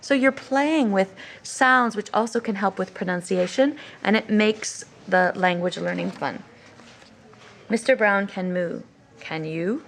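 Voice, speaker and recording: American female teacher-host, mid-pitched voice, explaining and reading children's books in an informal, instructive way. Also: a little slow, vocal fry, fairly bright tone, a little hiss or hum. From United States. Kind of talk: educational talk with read-aloud of picture books.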